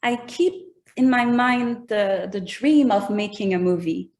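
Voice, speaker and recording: A woman's voice: mid-range voice, unhurried, darkish tone. A woman with a French accent, a native French speaker, talking as a musician guest in an interview and carefully searching for her words in English. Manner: thoughtful, searching for words in a second language. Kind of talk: casual interview chat.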